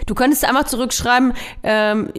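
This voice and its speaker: A woman's voice: on the high side, fairly even intonation, normal speed, clean tone. A young woman co-hosting a podcast, teasing her co-host in casual chat. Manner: teasing, playful, with hesitant fillers.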